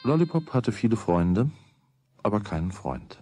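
A man's voice: fairly low pitch, sing-song, moderate pace, dark tone, soft background music. A man playing a wry, conversational storyteller, narrating a children's radio play in a musing manner.

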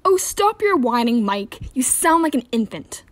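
A woman's voice: fairly high pitch, big pitch swings, moderate pace, dry recording. A woman playing a bossy, exasperated radio host in a comedic radio play, blunt and scolding.

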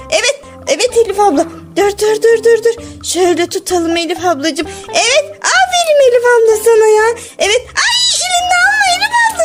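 A woman voicing a small, excitable, whiny child in a pleading children's play skit. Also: very high voice. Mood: frightened, whiny.

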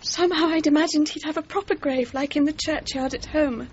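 A woman's voice: steady tone, a little fast, close to an everyday voice. An actress playing a well-bred young Englishwoman in grief in a radio drama, earnest and genteel, with an English accent. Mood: wistful, disappointed. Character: grieving, well-bred young Englishwoman, polite but distraught. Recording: slightly muffled, faint rumbling noise.

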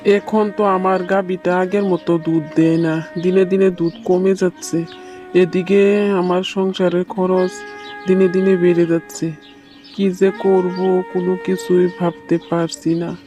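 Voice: medium pitch, flat delivery, measured pace, warm tone, faint music behind, close to an everyday voice. A performer voicing a poor, worried and greedy village milkman in a cartoon story.

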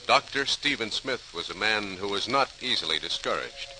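Male 1940s radio narrator: slightly low, lively intonation, formal and dramatic, faintly noisy old recording. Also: moderate pace.

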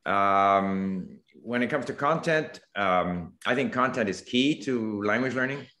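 An older man from Canada talks over a video call at a measured, thoughtful pace. His voice sits very low. The room around him adds a noticeable echo. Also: expressive, sharp tone. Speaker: panel guest, language creator. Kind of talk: casual video call chat. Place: room at home.

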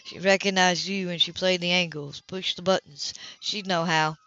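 A tough, streetwise man played by a woman reader speaks low in an audiobook, cynical and matter-of-fact about a hard life. The sound is dry and slightly dull. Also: steady tone, normal speed, sharp tone, no room sound. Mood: cool. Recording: dull lo-fi home recording.

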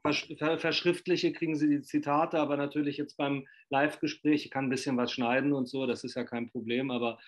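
A man's voice: medium pitch, flat delivery, fairly quick, hardly any pauses. A man in his fifties interviewing over a video call from a small room, talking in a rambling, effusive way full of fillers. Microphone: laptop webcam on video call.

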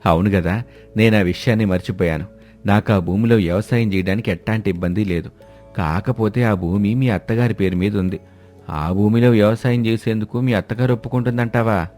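Old cartoon father-in-law, kind and fatherly, low and soft, played by a man. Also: fairly quick, faint music behind, lightly acted. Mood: kindly. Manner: gentle, fatherly. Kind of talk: animated storybook voice acting.

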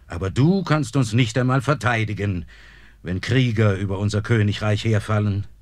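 A man's voice: fairly low pitch, moderate pace, no echo, natural voice. An actor playing an old, worried king who sternly scolds his son and servants. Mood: grave worry. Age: older.

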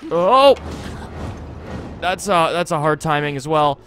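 A man's voice: fairly high pitch, normal speed, soft background music. A man doing casual, chatty video game commentary as host of a series. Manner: animated, chatty, self-correcting. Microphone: close desktop USB microphone.

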